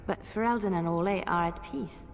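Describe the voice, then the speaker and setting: A woman's voice: on the low side, fairly quick, lightly acted. An actress playing a young woman rogue who has been wronged and wants revenge, in a video game. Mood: resolute, guarded. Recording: long hall reverb, music behind.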